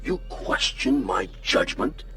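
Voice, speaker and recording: An actor playing a cold, imperious alien commander in a radio drama, stern and menacing. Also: male voice, slow, bright, forceful tone, soft background music. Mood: cold authority.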